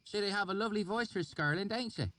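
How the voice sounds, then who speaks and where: A man's voice: medium pitch, normal speed, dark tone, pitched above the performer's own voice, natural voice. A man voicing a young man who puts on a thick rustic dialect to seem folksy.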